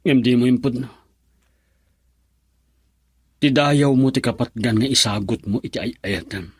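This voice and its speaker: An actor playing a brooding, wounded husband in love in an Ilocano radio drama, earnest and melodramatic. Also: male voice, medium pitch, measured pace, husky, dry recording, clean studio sound. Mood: hurt, bitter.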